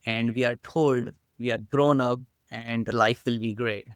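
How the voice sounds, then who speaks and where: A middle-aged man speaks with an Indian accent, talking as a podcast guest. His delivery is playful and animated, with a touch of self-mockery. The voice is smooth and resonant, and the room is dry. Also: medium pitch, unhurried, no room sound. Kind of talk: casual interview talk.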